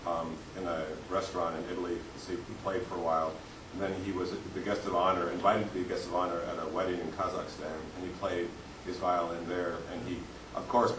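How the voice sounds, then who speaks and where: A man, a teacher, telling rambling anecdotes in a casual group discussion. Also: low-pitched. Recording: echoey room from far off, noisy.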